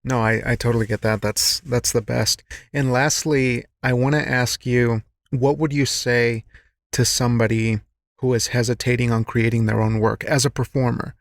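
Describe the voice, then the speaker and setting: A man's voice: medium pitch, fairly even intonation, moderate pace, dry recording, clean studio sound. A man hosting a conversational interview in an appreciative, encouraging manner. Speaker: podcast host, interviewer.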